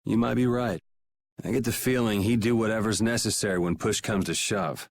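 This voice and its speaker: A man's voice: fairly low pitch, steady tone, fairly quick, natural voice. An actor playing a self-assured young male adventurer in a video game, talking in a casual, wry, plain-spoken way. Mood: wry, resolute. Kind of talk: video game cutscene acting.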